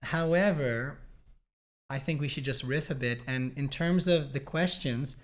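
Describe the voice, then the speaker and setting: A man in his thirties from Canada, hosting a casual relationship chat in a relaxed, rambling way with joking asides. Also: mid-range voice, a little slow. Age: 30s. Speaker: podcast host.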